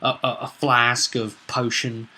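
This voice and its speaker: A man talking casually as a game master in an animated, blunt, rambling commentary. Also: medium pitch, unhurried. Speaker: tabletop game master, video presenter.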